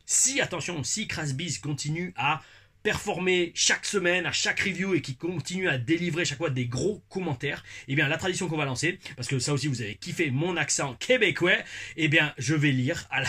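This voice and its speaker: Bright husky-voiced French man, exuberant. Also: a little high. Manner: exuberant, repeats catchphrases, rambling asides. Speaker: wrestling review host. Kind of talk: casual energetic video review.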